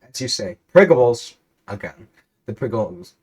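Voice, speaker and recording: A man's voice: on the low side, moderate pace. A man narrating an absurd interactive story aloud in a theatrical, emphatic way. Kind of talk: dramatic read-aloud of absurd interactive fiction.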